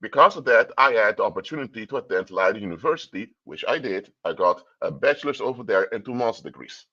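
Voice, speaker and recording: A man's voice: on the low side, expressive, quick pace, pushed and bright, lo-fi sound. A man from Curaçao, giving a livestream talk in a lecturing tone full of rhetorical questions. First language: Papiamento. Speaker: commentator. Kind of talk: livestream commentary.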